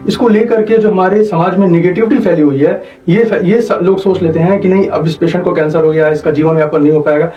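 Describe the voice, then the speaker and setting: Man, high mellow voice, didactic doctor, echoey distant recording. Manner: didactic, repeats points, checks understanding. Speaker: doctor, interview guest. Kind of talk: explanatory medical interview. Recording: dull, echoey, distant mic, faint music behind.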